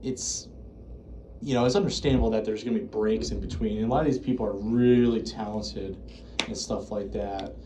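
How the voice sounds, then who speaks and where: A man's voice: fairly low pitch, fairly even intonation, normal speed, mellow, a little hiss or hum. An American man giving casual, unscripted commentary in a rambling, hesitant, self-correcting way. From United States. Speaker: video creator reviewing horror series.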